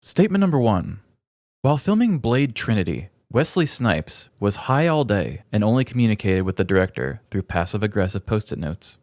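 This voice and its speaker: A man's voice: on the low side, very animated, moderate pace, a little mellow, no echo, studio quality. A man co-hosting a comedy podcast in a conversational, jokey way. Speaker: podcast co-host. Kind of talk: casual comedic chat, sometimes reading aloud.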